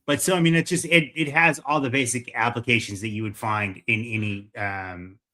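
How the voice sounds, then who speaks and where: A man co-hosting a casual chat, easygoing and hesitant, filling pauses with ums and uhs. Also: medium pitch, steady tone, pushed and bright. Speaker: co-host.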